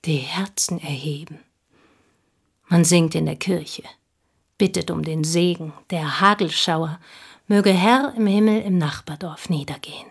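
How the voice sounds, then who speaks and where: An actress playing a bitter, proud, unmarried village woman in a radio play, speaking deliberately and with scornful intensity in a husky voice. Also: fairly low pitch, heavily acted. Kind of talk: German radio play acting.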